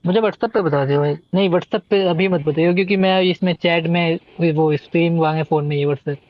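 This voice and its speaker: Very fast-talking Indian man streaming. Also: a little high. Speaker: game livestreamer. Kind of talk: casual chat with viewers. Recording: dull lo-fi stream audio. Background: game music.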